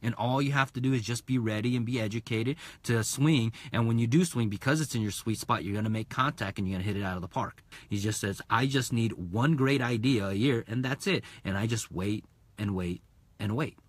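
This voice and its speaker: A man's voice: mid-range voice, brisk, no room sound. A man in his thirties, a real estate investor giving a casual live-stream talk from inside a car, speaking into a phone held at arm's length, full of fillers and rhetorical "right?"s.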